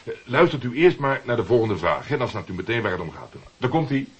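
An actor playing a brisk, patronising radio director who instructs and corrects with some impatience, in a radio play. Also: male voice, medium pitch, sing-song, highs cut off, natural voice. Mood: impatient, correcting.

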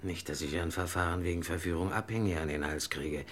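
An actor playing a sleazy, wary bar boss, slangy and condescending, in a comic crime radio play. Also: male voice, low-pitched, steady tone, brisk, lightly acted. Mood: sleazy, guarded.

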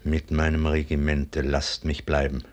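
An actor playing a proud, wounded, bitter army colonel in a 1950s German radio drama, declaiming intensely with a husky, raspy voice. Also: male voice, a bass voice, level tone, unhurried. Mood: grim, broken. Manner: declamatory, intense, sinking to harsh whispers.

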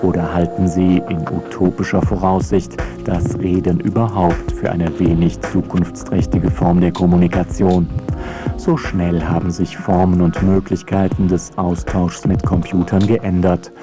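A man with a low voice narrates a scripted radio feature. His delivery is wry and rhetorical, and music runs clearly behind him. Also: level tone, a little slow.

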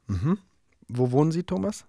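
An actor playing a straight-faced radio narrator and phone operator, matter-of-fact and deadpan in a satirical radio play. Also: male voice, fairly high pitch, normal speed, gravelly, no room sound, studio quality. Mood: businesslike.

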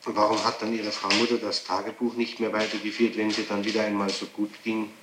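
Persistent male interrogator, low voice, echoey tape. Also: level tone, quick pace. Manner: persistent, pressing. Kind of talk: formal questioning. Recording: echoey archival interrogation tape, faint hiss.

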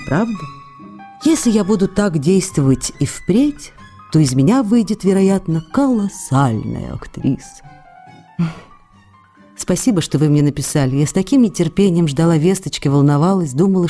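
A woman playing an emotional young actress who reads her letters aloud in a radio drama, lively and expressive. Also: deep voice. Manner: animated and excitable, swinging to despair. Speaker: reader of letters in a radio play.

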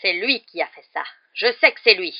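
Une femme qui interprète dans un livre audio un professeur hors de lui, rugissant des accusations rageuses. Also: female voice, mid-range voice, expressive, normal speed, lo-fi sound, lightly acted. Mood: furious. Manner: roaring, beside himself with rage. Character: furious, vindictive male professor, shouting accusations. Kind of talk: acted audiobook dialogue.

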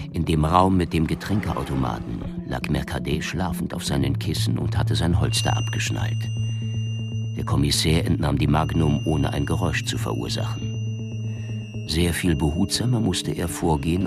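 A man narrating a radio crime drama from a script, in a measured storytelling manner. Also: rumbling low, creaky.

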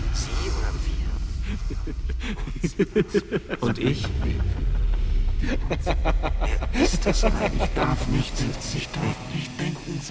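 A man acting an anxious, introspective narrator in a German dystopian radio drama, telling it in brooding short phrases. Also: on the low side. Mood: brooding, troubled. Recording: loud music behind the voice.

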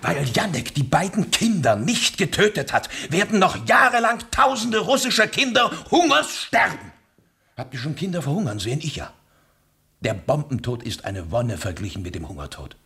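An actor in a radio play playing an embittered, ruthless Russian revolutionary with an impassioned, declamatory delivery. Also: male voice, sing-song, normal speed, husky, heavily acted. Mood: furious, scornful. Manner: impassioned, declamatory, swinging from low to loud.

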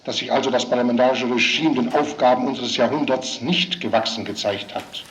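A man's voice: medium pitch, brisk, fairly bright tone, poor audio. A man making an emphatic speech in an archive recording, listing his points one after another. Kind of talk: archival speech and radio report.

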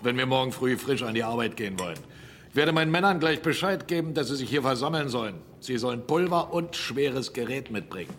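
An actor playing a brisk, ambitious captain in a radio drama, commanding and decisive in manner. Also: male voice, mid-range voice, expressive, a bit bright, a little hiss or hum, natural voice. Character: brisk, ambitious male captain used to giving orders. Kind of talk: German radio drama acting.

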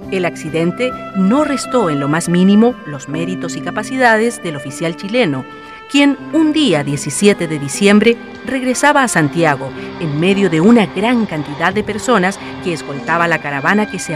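A narrator delivering scripted historical storytelling for a radio drama. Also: mid-range voice.

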